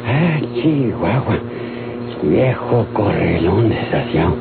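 A weakened rustic Mexican gunman in an old radio drama. He grumbles indignantly in country slang, his pitch swinging widely. The recording is thin and muffled, with music behind. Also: big pitch swings, slow pace, gravelly, full character voice.

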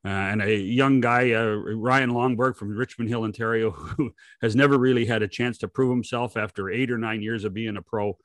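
An older man co-hosts a sports podcast in a mid-pitched voice. He talks casually and emphatically, repeating phrases for weight. The sound is dry and close on a desk microphone. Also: steady tone, normal speed, a bit bright, no room sound. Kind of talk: animated casual sports chat. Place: home study on a video call.

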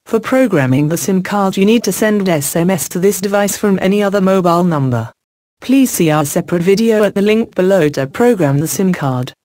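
A narrator reading a scripted product installation guide in a formal, deliberate, step-by-step way. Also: medium pitch, darkish tone, dry recording, studio quality.

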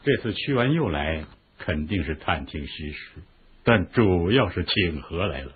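A man's voice: sits low, animated, measured pace, slight background noise. An actor playing a wise, respectful chief minister counselling his lord in a Chinese historical radio drama, earnest and considered. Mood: earnest, advising. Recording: dull, lo-fi radio drama recording with music.

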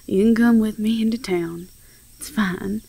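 A woman acts out a young Southern belle who runs a farm in an audio roleplay. Her voice is relaxed and mellow with some fry in it. She talks in a folksy, sweet and quick-witted way. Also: medium pitch, no echo. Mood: fond, reassuring. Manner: warm, folksy, teasing.

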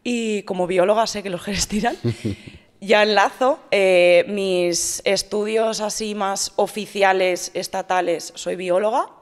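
A woman's voice: medium pitch, steady tone, measured pace, clean tone. A woman, chatting as an interview guest with many fillers, into a studio mic on a stand. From Madrid, Spain. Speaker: guest, fitness influencer. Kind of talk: casual interview talk. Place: large gym hall. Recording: reverberant hall.

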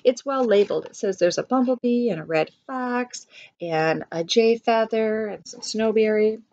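A woman's voice: fairly high pitch, normal speed, a little mellow. A middle-aged woman reads a picture book aloud, adding explanatory asides and pointing things out for children. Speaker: read-aloud teacher. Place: small room at home. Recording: dull lo-fi webcam sound.

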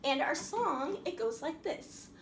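A middle-aged woman hosting a children's storytime, reading and explaining in a sing-song, animated manner with simple questions for small children. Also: high-pitched, takes it slowly. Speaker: library storytime host. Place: small indoor room at a wooden table. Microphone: camera a few feet away. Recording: roomy echo, faint background noise.

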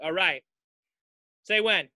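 Guy podcast host, high pitched, very bright forceful voice, playful chat. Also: slow pace, no echo, studio quality. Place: home basement.

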